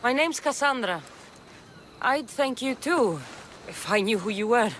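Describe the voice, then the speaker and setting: A woman's voice: a little high, animated, measured pace, a bit bright, a little hiss or hum. A woman playing a hardened exiled Spartan mercenary in a video game, with a blunt, firm delivery. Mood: wry, probing. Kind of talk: video game dramatic dialogue. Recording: music behind the voice.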